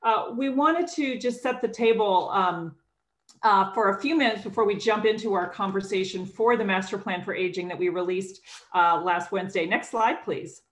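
A woman acting as host and opening a virtual summit over a video call, brisk and upbeat. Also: mid-range voice. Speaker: state department director, host. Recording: roomy video call audio.

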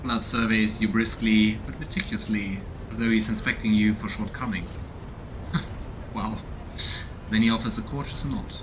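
A man's voice: fairly low pitch, fairly even intonation, moderate pace. A man in his thirties hosting a let's play, musing casually and reading game text aloud. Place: small home room indoors. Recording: noisy, game ambience behind voice. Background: video game ambience.

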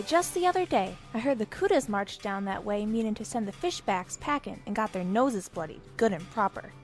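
A woman's voice: fairly high pitch, a little mellow, close to an everyday voice. A woman playing an eager, folksy young guild worker pleading for help in a game fan dub.

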